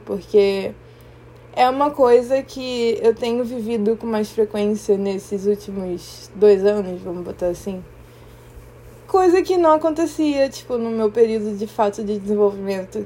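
A young woman with a Brazilian Portuguese accent, hosting a podcast in a reflective personal monologue, thoughtful and often pausing to search for the right word. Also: medium pitch, dark tone. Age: young adult. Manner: thoughtful, searching for words, pauses and restarts. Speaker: podcast host.

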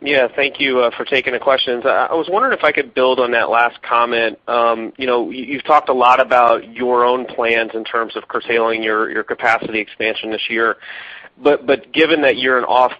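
A man with an average-pitched voice asks a question as an analyst on a conference call. His phrasing is polite and tentative, with small stammered repeats. The sound is dull and low in fidelity. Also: fairly even intonation, a little fast. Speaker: financial analyst caller. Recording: dull lo-fi phone conference audio.

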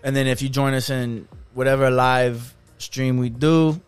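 A man co-hosting a podcast in casual chat, talking in a slangy, rambling, animated way. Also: medium pitch, a little slow, smooth, no room sound. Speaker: podcast co-host.

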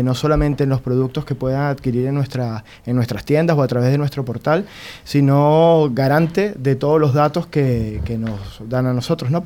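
A man's voice: medium pitch, mellow. A man with a Venezuelan accent, a guest on a radio interview, answering with many fillers and restarts. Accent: Venezuelan Spanish. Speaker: guest, company security chief.

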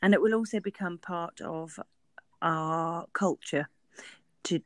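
A woman's voice: fairly low pitch, takes it slowly, dry recording. Earnest woman, podcast co-host. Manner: earnest, with frequent restarts. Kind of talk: casual workplace podcast chat.

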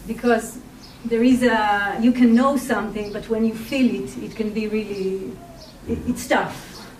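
Israeli-accented woman speaker, hesitant and soft, recorded at a distance in a reverberant hall. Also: on the high side, a little hiss or hum. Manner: hesitant, many fillers, soft-spoken. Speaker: workshop speaker. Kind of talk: personal talk to an audience. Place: community hall. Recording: echoey hall, mic at a distance.

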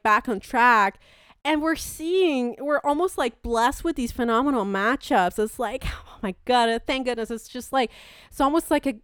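A woman's voice: a little high, clean tone, no room sound, studio quality. A woman hosting a sports show, giving chatty, enthusiastic, colloquial commentary on fights in a casual style. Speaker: sports podcast host.

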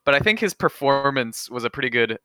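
A man's voice: mid-range voice, expressive, a little fast, sharp tone, smooth, no room sound, studio quality. A man co-hosting a podcast in casual, conversational panel chat. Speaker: podcast co-host.

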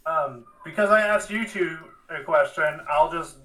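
A man with a bright, forceful voice on the higher side hosts a casual podcast. He talks informally and sounds a little away from the microphone. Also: measured pace, far from the mic, rough recording. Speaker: podcast host, rapper.

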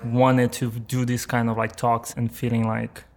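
A man in his thirties with a Brazilian accent and Portuguese as first language, chatting in an interview with halting phrasing. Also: medium pitch, monotone. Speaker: podcast guest, motion and 3D artist. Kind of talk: casual interview conversation.

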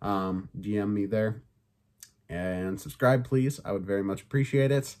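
A man with a somewhat low voice chats casually through his review, full of fillers and the odd curse. His throat sounds a bit sore, which gives him a light rasp. He talks in a loose, easygoing way. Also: fairly even intonation, a little slow, a little mellow. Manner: loose and chatty, swears, many fillers. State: slightly raspy, sore voice. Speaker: podcast host reviewing films and shows.

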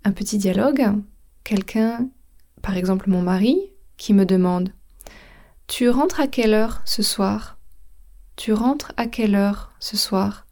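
A French woman gives a podcast language lesson in an unhurried, deliberate way, spelling out words and slipping into English to explain them. Her voice is mellow and soft-edged, sitting at an ordinary middle pitch, and she lets each phrase land slowly. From France. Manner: slow, careful explanation mixing French and English. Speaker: French teacher.

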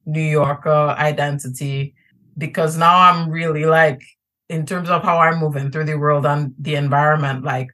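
A woman's voice: low voice, a bit bright. A Trinidadian woman in a casual podcast interview, chatty and easygoing, with many fillers and restarts. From Trinidad and Tobago. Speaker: podcast guest.

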